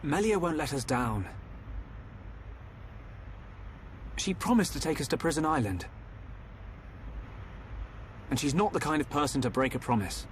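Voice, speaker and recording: A man's voice: fairly high pitch, expressive, normal speed, pauses often, a little hiss or hum, natural voice. A man voicing an earnest, trusting young hero in a video game, speaking sincerely. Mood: firm, trusting. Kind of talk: dramatic video game voice acting.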